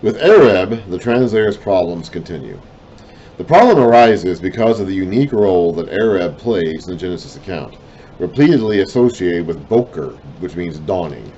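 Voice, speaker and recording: Low deep-voiced man teaching slowly. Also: very animated, dark tone, lo-fi sound, a little hiss or hum. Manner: deliberate, apologetic asides, trailing off at phrase ends. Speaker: Bible teacher. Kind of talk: reading and explaining a lesson.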